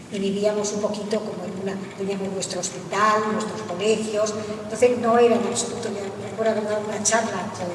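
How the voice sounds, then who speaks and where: A woman's voice: mid-range voice, distant mic, background noise. Older woman reminiscing in an echoey hall. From Egypt. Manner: reflective, with frequent hesitant restarts. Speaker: panel speaker. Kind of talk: recalling her life in a public talk.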